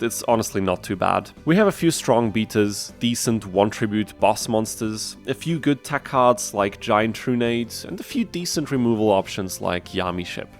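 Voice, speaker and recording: A man giving scripted, conversational and explanatory voice-over commentary as the host of a video game challenge. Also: mid-range voice. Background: background music.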